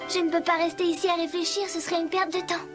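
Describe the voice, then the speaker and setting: A woman voicing a sweet, patient, hard-working young servant girl in a dubbed fairy-tale cartoon, gentle and earnest. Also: very high-pitched, little pitch movement, fast. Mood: busy, cheerful. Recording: music under the voice.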